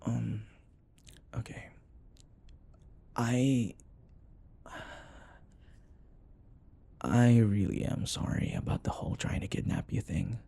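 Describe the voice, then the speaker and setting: A man plays a rich, possessive older boyfriend in an intimate audio roleplay. His voice is lowish and dark, with a gravelly creak. He murmurs hesitantly and coaxingly, doting on his listener. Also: heavily acted. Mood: apologetic, tender.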